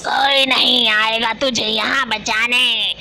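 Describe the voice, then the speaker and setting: A woman voicing a wicked, gloating witch in a horror cartoon, speaking in slow, menacing threats with a creaky voice. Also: on the high side, fairly even intonation, heavily acted. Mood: gloating menace. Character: wicked, gloating witch craving immortality through human sacrifice. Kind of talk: Hindi horror cartoon voice acting. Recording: loud music under the voice.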